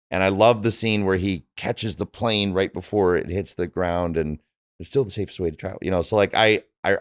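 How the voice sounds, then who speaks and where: A man with a low voice talks casually, as a voice actor in conversation. He is a little hesitant, feeling his way through each thought. The recording is dry and free of echo. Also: clean tone. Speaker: voice actor in a discussion. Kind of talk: casual chat about favorite comics and films.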